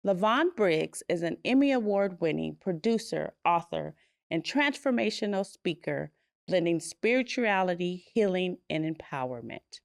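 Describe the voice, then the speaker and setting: A woman hosting a podcast interview, her voice sitting low with a gravelly fry. The sound is dry and close, with no room in it. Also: expressive, normal speed, no room sound. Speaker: podcast host and attorney.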